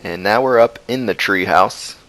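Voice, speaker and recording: A man's voice: on the low side, normal speed, fairly bright tone, poor audio. A man narrating a video game walkthrough as a guide. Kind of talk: walkthrough commentary.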